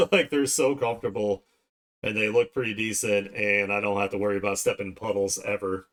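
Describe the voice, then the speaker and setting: A man with a fairly low voice hosts a casual art stream. He rambles conversationally to his viewers, talking as he works. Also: moderate pace, a bit bright. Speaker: art streamer hosting a livestream. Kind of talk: casual livestream chat while drawing.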